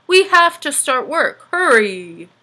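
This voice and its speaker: A woman's voice: sits high, sing-song, slow pace, pushed and bright, voice pushed up, full character voice. A woman voicing a kindly, urgent old man, Father Christmas, in a storybook.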